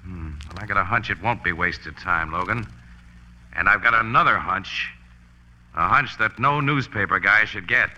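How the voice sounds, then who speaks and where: Newsman sleuth, forties radio crime show: shrewd, brisk, slightly low, very sharp voice on dulled vintage audio. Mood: decisive. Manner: brisk, informal, sure of himself. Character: shrewd, assured male newspaper photographer sleuth. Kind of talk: 1940s radio crime drama acting. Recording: dull vintage radio recording.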